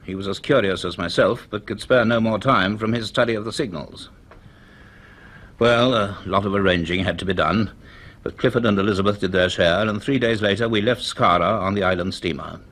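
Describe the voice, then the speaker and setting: A low-pitched, donnish man of science in a radio play. He speaks with measured deliberation and quiet authority, an inquisitive professor telling his tale. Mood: reflective, uneasy. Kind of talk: radio drama acting and reflective first-person narration.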